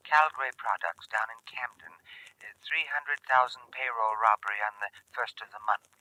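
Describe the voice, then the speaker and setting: A man's voice: medium pitch, normal speed, pushed and bright, rough recording. An actor playing a cagey anonymous male informant over a telephone in a 1950s radio crime drama, secretive and insistent. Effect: telephone filter. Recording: old radio recording, telephone filter.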